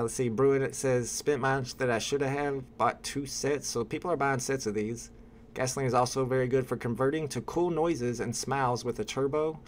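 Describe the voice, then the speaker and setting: A man's voice: mid-range voice, a little hiss or hum. A young man hosting a casual livestream from a room at home, chatting with a guest and reading viewer comments aloud. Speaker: livestream host.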